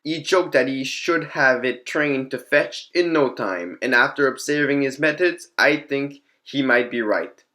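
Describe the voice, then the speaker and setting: A man acts out a detached researcher recording a scripted audio log. The voice sits on the high side, and the delivery is formal and matter-of-fact, like clinical notes dictated for an experiment journal. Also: a little slow, bright, forceful tone.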